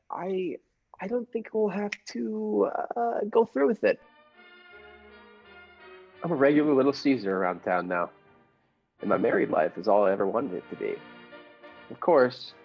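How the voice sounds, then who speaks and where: A man's voice: medium pitch, creaky, breathy edge, highs cut off, exaggerated voice. A man playing a meek, nervous henpecked husband who narrates his scheme in a radio play, hesitant, earnest and formal. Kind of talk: improvised-cast classic radio play narration.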